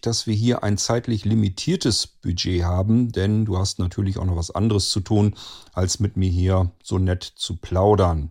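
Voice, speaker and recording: Low-voiced, courteous male interviewer. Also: darkish tone. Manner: effusively polite, formal phrasing. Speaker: interviewer, host. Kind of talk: courteous, wordy interview hosting.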